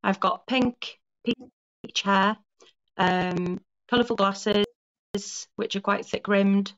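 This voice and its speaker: A woman's voice: medium pitch, fairly even intonation, slow, fairly bright tone. A woman in her forties from Wales, speaking as a podcast guest from her lounge, explaining her story at length with small restarts and self-corrections. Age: 40s. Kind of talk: casual conversational interview. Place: home lounge. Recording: dull lo-fi call audio.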